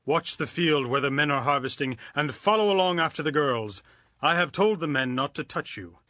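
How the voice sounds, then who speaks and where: An actor playing a kindly, authoritative landowner, firm and protective, in a dramatized audio Bible. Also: male voice, fairly high pitch, animated, moderate pace, sharp tone, no echo, clean studio sound.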